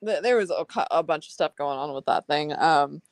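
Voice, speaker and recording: A woman's voice: deep voice, lively intonation, moderate pace, no echo. Laid-back woman panel guest, dry sound. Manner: laid-back, laughs while talking. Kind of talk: casual chat on a live stream.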